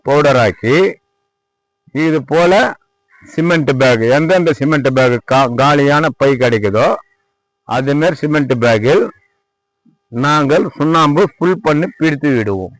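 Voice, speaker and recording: A man with a Tamil Nadu accent gives an informal product tour and sales pitch, repeating himself as he demonstrates and politely addresses the viewer. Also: measured pace, long pauses, no room sound, rough recording. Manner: repetitive, demonstrative, addressing viewer respectfully as sir. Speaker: lime manufacturer presenting own workshop. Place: at a lime kiln worksite.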